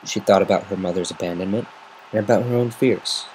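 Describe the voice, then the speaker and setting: A man reading a story aloud as an amateur, in measured, expressive semi-dramatic narration. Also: low-pitched. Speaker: amateur reader of fan fiction. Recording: noisy amateur home recording.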